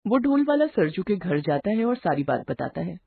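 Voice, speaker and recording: A woman's voice: on the low side, sing-song, moderate pace, gravelly, narrow, tinny audio, a little hiss or hum. A woman reading a scripted moral bedtime story as its narrator.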